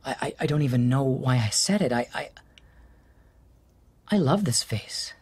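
An actor playing an anxious husband in an audio drama, stammering and swinging between shouts and whispers. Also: male voice, medium pitch, expressive, moderate pace, husky. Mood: tender unsettled. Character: anxious husband losing his love, middle-aged man. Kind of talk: studio audio drama acting.

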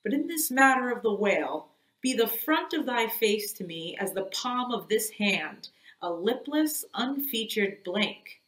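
A woman's voice: a little high, lively intonation, unhurried, fairly bright tone, pitched above the performer's own voice. A woman reading an audiobook, voicing an obsessed old male sea captain who declaims with grim, imperious force, in an echoey room. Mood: commanding.

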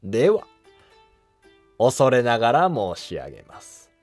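An actor playing a humble, cautious monk who advises an emperor in formal, deferential court speech in a historical drama. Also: male voice, medium pitch, wildly swooping pitch, a little slow, a little mellow, no room sound, faint music behind. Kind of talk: Japanese historical drama dialogue.

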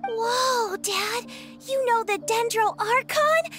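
A very high, squeaky little girl's voice, bursting with wonder and eager questions. She speaks in a drawn-out, unhurried way, with music playing clearly in the background of this game scene. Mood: amazed. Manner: eager, wide-eyed questions. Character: excited little girl, curious daughter. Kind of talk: video game voice acting.

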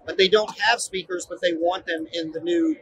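A man's voice: sits high, fairly even intonation, normal speed, fairly bright tone, highs cut off. A man hosting an on-location interview at a busy trade show. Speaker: interviewer, channel host. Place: busy indoor trade show exhibit hall. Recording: clear trade show hall noise. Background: convention hall ambience.

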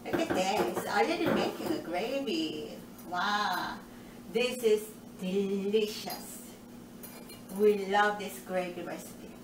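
An older woman with as her talks slowly and cheerfully through a recipe in her home kitchen. She is caught by a camera set a little way off, so her voice carries a roomy, distant ring with steady household noise behind it. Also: mid-range voice. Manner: cheerful, explains step by step with nutrition asides. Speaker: cooking show host. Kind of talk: home cooking demonstration. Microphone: far camera.

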